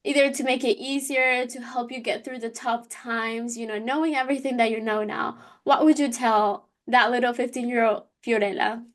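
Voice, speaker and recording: A woman's voice: a little high, fairly even intonation, moderate pace, hardly any pauses. A woman in a casual podcast conversation, talking informally with plenty of "like" and "you know".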